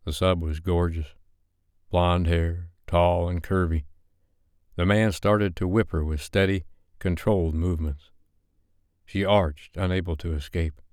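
A man reading a romance novel as an audiobook narrator, with measured, deliberate phrasing. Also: sits low, steady tone, a little mellow, clean tone, no room sound, clean studio sound.

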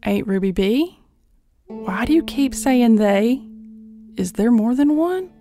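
A woman playing a bewildered young girl in an audiobook, weak, soft and uncertain as she questions. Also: on the high side, lively intonation, slow, faint music behind. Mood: puzzled. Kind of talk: dramatized audiobook dialogue.

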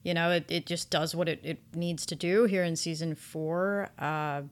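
A woman's voice: lowish voice, fairly even intonation, moderate pace. A woman co-hosting a casual podcast discussion, effusive and emphatic, repeating words for stress. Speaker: podcast co-host reviewing anime.

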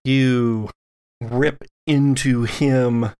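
A man running a tabletop horror game as game master, narrating in dramatic, halting phrases. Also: mid-range voice, steady tone, a little mellow, clear, resonant tone.

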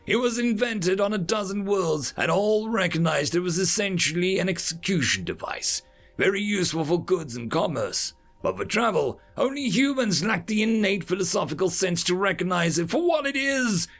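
A man's voice: sits high, sing-song, moderate pace, a bit bright, faint music behind, voice pushed up, heavily acted. A man voicing Chorus, a distraught, preachy male alien with tentacles, in a storybook reading. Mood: lecturing. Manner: anguished, lecturing.

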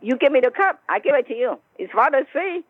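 A blunt woman with a Chinese accent talks in broken English over a tinny phone line. Her voice is husky and dry, and her pitch jumps around a lot as she talks. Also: lively intonation, brisk. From China. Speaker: store owner on a phone call.

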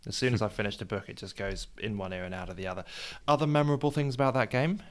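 Chatty, low-voiced man. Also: racing, clean tone. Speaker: cricket podcast co-host. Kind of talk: conversational podcast talk.

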